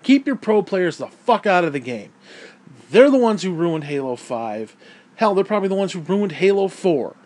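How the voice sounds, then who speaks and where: A hoarse man losing his voice, blunt and swearing a lot as he rants about a game. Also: high voice, sing-song, normal speed, a little mellow. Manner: profane, blunt and heated. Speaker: gaming vlogger. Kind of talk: angry opinion rant.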